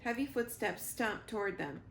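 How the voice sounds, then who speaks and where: A woman reading a children's storybook aloud with expressive narration, recorded in a roomy living room. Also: mid-range voice, normal speed. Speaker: reader, school librarian or teacher. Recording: roomy echo, faint background noise.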